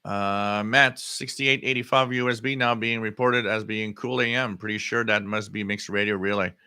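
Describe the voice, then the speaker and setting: A man hosting a casual live hobby stream, answering chat comments in a halting way and often repeating his words. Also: fairly low pitch, steady tone, sharp tone, smooth, dry recording, clean studio sound. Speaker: livestream host.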